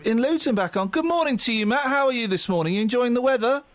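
A man's voice: very high-pitched, lively intonation, fast, dry recording. A male radio presenter in a studio, bantering in a playful, teasing, sing-song way.

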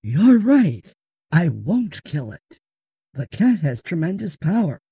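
A woman's voice: fairly low pitch, big pitch swings, slow pace, warm tone, slightly rough, no room sound, full character voice. A woman playing a wicked, bossy sorceress with a Southern US accent and scornful delivery in a fantasy audio drama. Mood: scheming. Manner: imperious, scornful.

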